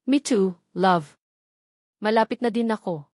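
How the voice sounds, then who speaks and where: An amorous, possessive young man speaks as the hero of a romance novel, voiced by a woman narrator. The pitch is mid-range for a woman's voice. The recording is dry. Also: measured pace, a little mellow, dry recording, close to an everyday voice. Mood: passionate. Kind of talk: storybook dialogue in romance narration.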